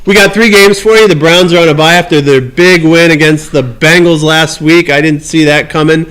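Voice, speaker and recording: Male sports segment host, fairly high voice, casual and conversational football commentary. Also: fairly even intonation, moderate pace, a bit bright, clean tone.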